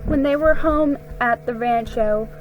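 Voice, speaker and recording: A woman giving a dramatic, expressive reading of a children's story, with a slightly halting delivery. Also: a little high, highs cut off. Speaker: amateur story reader. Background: music under the reading.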